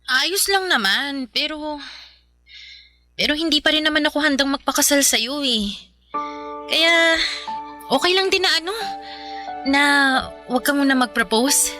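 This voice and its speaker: A woman's voice: sits high, measured pace, pauses often, over music. A woman playing an ambitious young live-in girlfriend, sassy and colloquial, in a naturalistic radio drama. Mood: gentle, apologetic. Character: ambitious, career-driven young live-in girlfriend, teasing and defensive. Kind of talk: Filipino radio drama acting, naturalistic dialogue.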